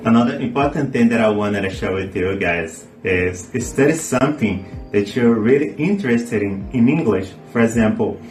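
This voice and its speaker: A man's voice: lowish voice, moderate pace, few pauses, darkish tone. A man whose first language is Portuguese, presenting a scripted video lesson with earnest, friendly advice to his viewers. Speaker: language teacher YouTuber. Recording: echoey room with faint music.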